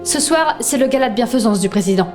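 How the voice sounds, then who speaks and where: A woman plays the assured young leader of a band of thieves in an audio drama. Her voice is on the high side and directive, with music clearly behind it. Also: expressive, fast, darkish tone, natural voice. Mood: commanding. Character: confident young female leader of a thief crew. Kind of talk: French audio drama acting.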